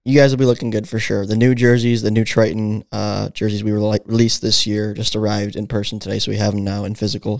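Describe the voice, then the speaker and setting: A man hosts a podcast, talking quickly and with enthusiasm, stringing long thoughts together. His voice is dry and close to the mic. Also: on the low side, gravelly, a little mellow, no room sound. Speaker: podcast co-host. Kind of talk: casual podcast chat.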